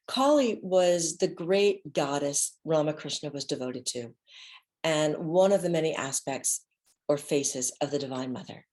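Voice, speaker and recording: A woman's voice: deep voice, darkish tone. A woman giving a dramatic reading from a one-woman show, telling her story theatrically and leaning on repeated words for emphasis. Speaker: solo stage performer and storyteller.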